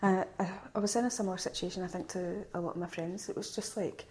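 Student, 20s, Glaswegian, warm low-key tone, halting and talkative. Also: female voice, steady tone, a little hiss or hum. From Glasgow, Scotland. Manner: chatty, hesitant with fillers and restarts, laughs easily. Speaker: university student. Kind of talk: casual chat.

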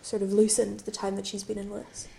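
A woman's voice: medium pitch, completely flat delivery, quick pace, gravelly, mellow, a little hiss or hum. A young woman from the Western Isles of Scotland, a university student chatting casually and asking questions. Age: young adult. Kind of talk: casual chat.

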